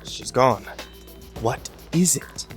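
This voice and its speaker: A man's voice: medium pitch, takes it slowly, music in the background, natural voice. A man playing a pious, appalled professor and former teacher in a dramatic amateur audio drama. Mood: curious, hushed.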